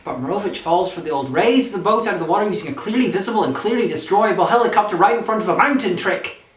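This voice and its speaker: A sarcastic review host delivers scripted comedy commentary with a very lively, swooping range. The delivery is theatrical and mock-imperious. The voice sits in an echoey room with faint noise behind it. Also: mid-range voice, fast talker. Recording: roomy echo, faint background noise.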